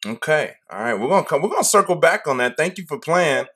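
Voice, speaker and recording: A man's voice: on the high side, big pitch swings, quick pace, a bit bright. A man hosting a podcast interview, upbeat and hyped, often repeating his phrases. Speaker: podcast host. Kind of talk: casual interview chat.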